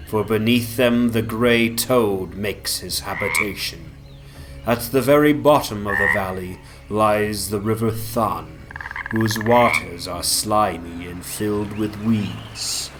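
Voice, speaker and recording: A man narrating a gothic tale as a dramatic reading, with solemn, measured literary delivery. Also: lowish voice. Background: music.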